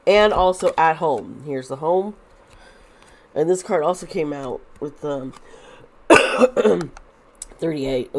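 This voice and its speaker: A woman lays out tarot cards and talks at an unhurried, drawn-out pace, her nose blocked and her voice wearing thin. Her pitch sits on the lower side, and she circles back on herself with restarts and fillers. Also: darkish tone. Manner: rambling with many restarts and fillers. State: congested, losing her voice. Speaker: tarot reader. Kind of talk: casual tarot reading to camera.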